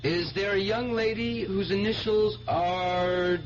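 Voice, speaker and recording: A fanatical young stage mentalist in a 1970s radio drama. His high voice is solemn, intense and theatrical. The recording is muffled, with clear noise over it. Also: fairly even intonation, slow. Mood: showy, commanding. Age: young adult.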